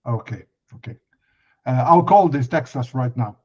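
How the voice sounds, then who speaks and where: Halting Italian man hosting, dull lo-fi sound. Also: mid-range voice, lively intonation, dark tone, slightly rough. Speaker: podcast host. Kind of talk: podcast interview with prepared introduction.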